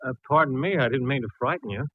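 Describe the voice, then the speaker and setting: An actor playing a dry, laconic, teasing homicide inspector in a 1940s radio detective drama. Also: male voice, mid-range voice, fast, no room sound, natural voice. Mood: casual, polite. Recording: muffled, thin vintage radio recording.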